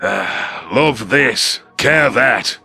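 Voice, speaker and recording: A man's voice: medium pitch, expressive, very slow, creaky, sharp tone, husky, faint music behind, voice pushed up, full character voice. A man voicing a scrawny, scornful male reptilian predator alien with a hissing, sneering manner.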